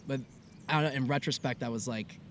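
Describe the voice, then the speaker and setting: A man's voice: mid-range voice, fairly quick. A young man with a mid-range voice chats casually, acting out his own past thoughts with lots of "like." A faint hiss of outdoor noise sits under him. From United States. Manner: animated storytelling, lots of "like" and "I was like". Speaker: podcast co-host. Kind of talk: casual conversation. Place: outdoors in the mountains.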